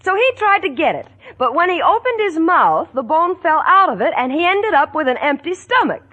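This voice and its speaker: Wry wisecracking roommate, 1940s radio comedy, high and bright, sensible but sardonic. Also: female voice, very animated, moderate pace, dull audio, full character voice. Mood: wry, amused. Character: wry, sensible young woman roommate narrating. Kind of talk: 1940s radio sitcom acting.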